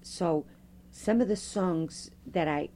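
A middle-aged woman, a Fado singer, telling stories with a strong, loud voice in an interview. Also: lowish voice, slow pace, slight background noise. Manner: animated storyteller, strong loud voice. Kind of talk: oral history interview.

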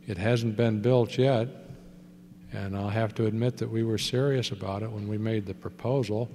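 A man, an airport official, answering questions at a public hearing in a measured, hesitant way. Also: fairly low pitch, steady tone, dark tone. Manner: measured, hesitant, with fillers and restarts. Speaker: port authority official. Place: large meeting hall. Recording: echoey hall with faint hum.

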